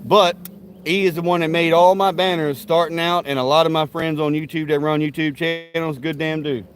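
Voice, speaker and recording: Man, a bit high-voiced, live-stream host, cussing, rowdy rambling banter, outdoors at a backyard grill. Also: steady tone, moderate pace, faint music behind. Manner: profane, rowdy trash talk and folksy banter. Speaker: livestream host.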